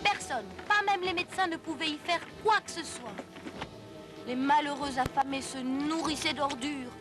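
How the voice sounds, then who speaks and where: A woman's voice: sits high, moderate pace, music in the background. A woman giving scripted, didactic storytelling narration for an educational history cartoon.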